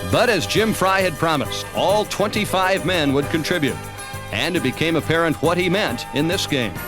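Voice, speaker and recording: An American man narrates a sports highlight film from a script. His voice sits a little high for a man. Music plays clearly behind him. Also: expressive, moderate pace. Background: background music.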